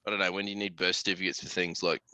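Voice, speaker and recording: A man's voice: low voice, little pitch movement, rapid-fire, sharp tone, no room sound. An Australian man chatting casually as a podcast host, blokey and sweary, full of slang.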